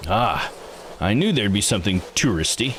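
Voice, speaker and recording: A man's voice: fairly low pitch, sing-song, normal speed, fairly bright tone, a little hiss or hum. A man reading the part of an easygoing, wry male sightseer in a visual novel. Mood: casual, wry. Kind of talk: visual novel character read-aloud.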